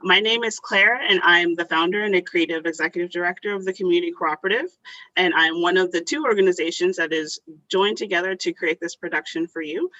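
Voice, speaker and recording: A woman's voice: lowish voice, brisk, bright, forceful tone, dry recording, muffled recording. A woman hosting an online theatre show, giving a welcome speech. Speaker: host, arts organization director.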